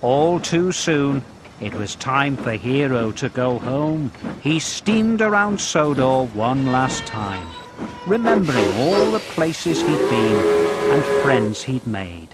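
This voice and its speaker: A man narrating a children's story in an expressive, simple way, with short prompts addressed to young listeners. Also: mid-range voice, takes it slowly, over loud music.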